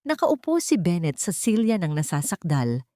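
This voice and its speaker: A woman reading a scripted dramatic story as narrator, in a measured, solemn storytelling manner. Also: lowish voice, sing-song, warm tone, no echo, clean studio sound. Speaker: narrator of love stories.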